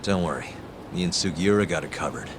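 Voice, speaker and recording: A man playing a calm, determined private detective in a video game cutscene, speaking in a composed, matter-of-fact way. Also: deep voice, fast talker, noisy background. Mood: focused. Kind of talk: English video game cutscene dub acting. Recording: music playing behind the voice.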